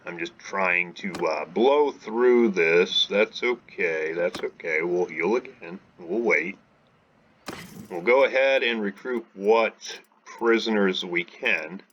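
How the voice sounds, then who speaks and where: A man's voice: lowish voice, lively intonation, slow, creaky, fairly bright tone, dull audio, a little hiss or hum. A man casually commentating his gameplay as a channel host, thinking aloud with laid-back restarts and fillers. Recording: game music playing under the voice. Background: game music.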